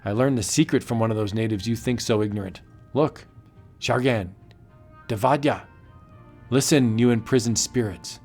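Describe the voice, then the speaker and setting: A man's voice: medium pitch, moderate pace, long pauses, darkish tone, faint music behind, close to an everyday voice. A man playing a grave, earnest anthropologist obsessed with magic, in a solemn, dramatic comic book audio drama style.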